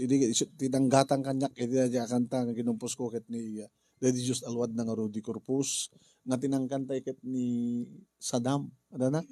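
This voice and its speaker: A man with an Ilocano accent chatting casually in a halting, jokey, rambling way. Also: medium pitch, mellow, no echo. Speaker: radio drama actor and host. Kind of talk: casual chat with bursts of singing.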